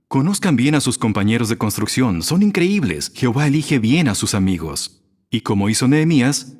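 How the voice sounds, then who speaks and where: A man delivering a prepared religious sermon in a measured, didactic manner. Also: mid-range voice, darkish tone. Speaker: religious speaker at a graduation.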